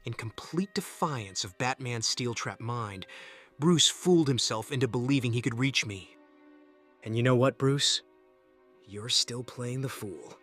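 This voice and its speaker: A man's voice: medium pitch, measured pace, fairly bright tone, faint music behind. A man playing a bitter, sardonic young vigilante in a superhero comic dub, taunting as an estranged former sidekick. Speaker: voice actor in a fan comic dub.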